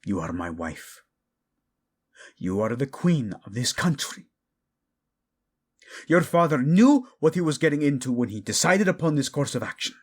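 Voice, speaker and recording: A man's voice: mid-range voice, very animated, normal speed, long pauses, slightly rough. A man playing a proud, vengeful young king, fiercely protective of his wife, in a dramatic romance audio roleplay. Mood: anguished, angry. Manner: brooding, commanding, tender in endearments.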